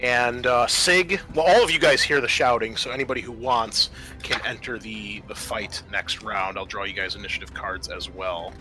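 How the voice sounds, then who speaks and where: A man's voice: on the low side, normal speed, bright, forceful tone, faint music behind. A man acting as game master, casually narrating a tabletop roleplaying game and explaining rules in informal, sweary talk.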